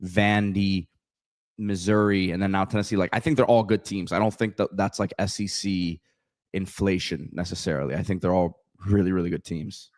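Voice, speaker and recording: A man with a low voice talking sports casually on a podcast. His speech is plain and peppered with "like". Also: fairly even intonation, normal speed, a little mellow. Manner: plain, says "like" often.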